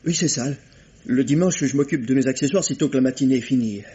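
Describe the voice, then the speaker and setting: A man's voice: medium pitch, fast, mellow, natural voice. An actor playing a nervous working-class props man at a theatre, stammering and swearing his innocence in an old radio play. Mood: defensive. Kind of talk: 1950s radio drama acting. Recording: dull old radio broadcast.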